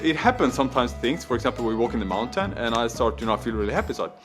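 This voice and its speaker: A young man with a Swedish accent, talking casually with his partner in an animated, exasperated way. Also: medium pitch, racing, music in the background. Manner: animated, exasperated ranting with swearing. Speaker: vlog host. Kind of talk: casual couple chat.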